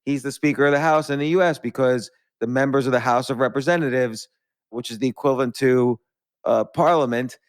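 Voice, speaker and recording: A man's voice: mid-range voice, a little fast. A man hosting a podcast, explaining civics in a casual, rambling, self-deprecating way. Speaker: podcast host.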